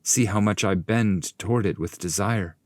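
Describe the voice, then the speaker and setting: A man's voice: lowish voice, moderate pace, dry recording, studio quality, voice pushed up, close to an everyday voice. A man voicing a reverent, eager pilgrim poet who speaks to his master in earnest, formal, pleading verse. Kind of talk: dramatic audiobook reading of epic verse.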